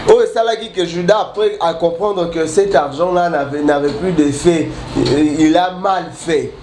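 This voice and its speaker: A man preaching a sermon while he has a cold, halting and restarting often, then building emphatic repetitions. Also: a little high. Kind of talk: sermon mixing French and Lingala. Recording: echoey room with faint ambient noise.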